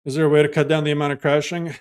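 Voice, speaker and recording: A man's voice: fairly high pitch, level tone, very fast, smooth. A man live-streaming a game, chatting loosely with viewers and walking them through steps, with lots of "like" fillers. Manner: explains things step by step to viewers. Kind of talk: casual live-stream chat while playing.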